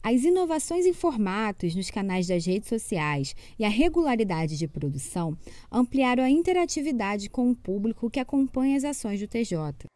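A young woman reading a scripted presentation to camera in a steady, formal way. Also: a little high, normal speed, dry recording.

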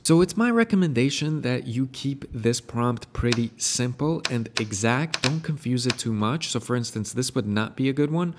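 Young guy walking through an app tutorial, friendly, mid pitch. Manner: friendly, explanatory, recommends affiliate link. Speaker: tutorial host. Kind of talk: screen-recorded software tutorial.

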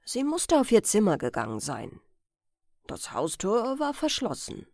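A woman reading a crime audiobook as narrator in an expressive, deliberate storytelling manner. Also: on the high side, creaky, dry recording, clean studio sound.

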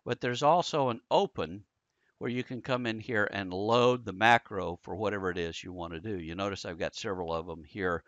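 A man giving a casual, conversational software tutorial, explaining things step by step as an instructor. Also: on the low side, dry recording. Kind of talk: casual screen-share software tutorial narration. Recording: dull lo-fi close dry voice-over.

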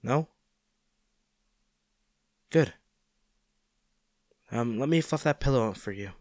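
A man's voice: medium pitch, lively intonation, slow, darkish tone, no room sound, clean studio sound. A man acting a caring, worried boyfriend in an intimate audio roleplay, speaking tenderly and coaxingly to his injured partner. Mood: gentle, soothing. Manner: tender, coaxing, hesitant, speaking directly to the listener.

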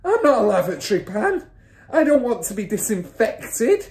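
Lady doing a yelling storybook dad, indignant and flustered, voice pushed up high, echoey room. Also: sing-song, moderate pace. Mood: panicked wailing. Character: blustering, loud, panicky, vain father, a car salesman. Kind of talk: children's storybook character voice.

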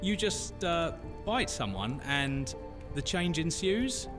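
A man's voice: fairly high pitch, big pitch swings, slow pace. A man playing a cheeky, nosy young man in an audio drama, teasing in an exaggerated manner. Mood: curious. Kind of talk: immersive audio drama roleplay. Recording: music behind the voice.

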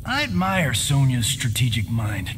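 A man's voice: mid-range voice, very animated, unhurried, a bit bright, husky, in a large hall, heavily acted. A man with an American accent playing a cocky, wisecracking middle-aged movie star in a video game. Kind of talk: video game fighter banter.

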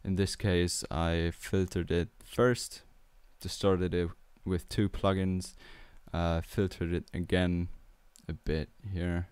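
A young man in his twenties from Austria with an Austrian German accent, walking through a music tutorial in a relaxed, hesitant way with many fillers, on a studio mic in a small home studio. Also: low-pitched, slow, clean tone, dry recording. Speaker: music producer. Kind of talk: tutorial walkthrough. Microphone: studio mic on boom arm with headphones.

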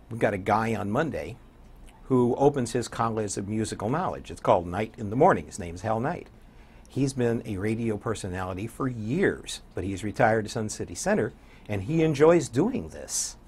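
An older American man with a husky voice, speaking as a radio DJ guest in a conversational studio interview. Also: lowish voice, a little mellow. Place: small indoor TV studio.

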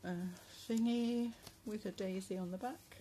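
A woman talks casually while showing a handmade journal. She speaks softly, with many pauses and fillers, and her voice is going hoarse. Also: mid-range voice, steady tone, slight background noise, rough recording. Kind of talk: casual craft flip-through narration. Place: indoors at a table at home. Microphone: phone camera above the table.

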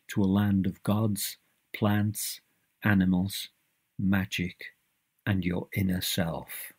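A man with a low, husky voice leads a guided visualization. He reads his script very slowly and deliberately, leaving space between short phrases. The delivery is measured and instructional, with a noticeably rough, breathy grain. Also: darkish tone. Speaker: guided meditation narrator.

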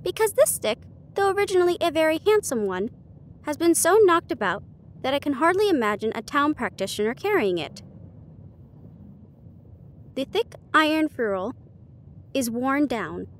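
A woman voicing an earnest, eager gentleman, a detective's companion, speaking formally in an audiobook. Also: high-pitched, very animated, moderate pace, pauses often.

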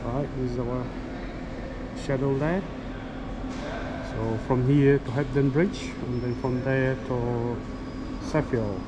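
A middle-aged man speaking casually and haltingly as a travel vlogger, thinking aloud as he goes. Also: medium pitch, fairly even intonation. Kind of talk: casual vlog commentary. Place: railway station hall and inside a train carriage. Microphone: action camera at arm's length. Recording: loud ambient station and train noise.

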